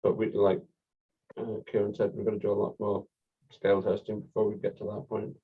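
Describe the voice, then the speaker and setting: A man's voice: lowish voice, monotone, brisk, breathy edge. A man talking casually through technical points with colleagues at work. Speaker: software engineer in a team meeting. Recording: dull, muffled call audio.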